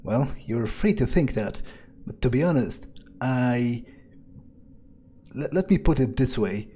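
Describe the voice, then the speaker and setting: A man improvising in-character game commentary as a scheming vampire, musing aloud in a deliberate, self-assured way. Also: mid-range voice, animated, warm tone, a little hiss or hum. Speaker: gamer playing in character. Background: faint game music.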